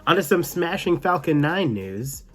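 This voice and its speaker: A man's voice: a little high, big pitch swings, normal speed, slightly rough. Man presenting tech news over music. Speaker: host of a tech news show. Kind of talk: news commentary, part scripted, part casual.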